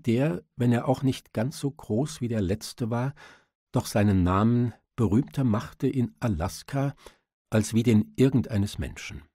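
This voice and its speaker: A man's voice: mid-range voice, mellow, husky, no room sound, clean studio sound. A man narrating an audiobook, reading scripted literary prose.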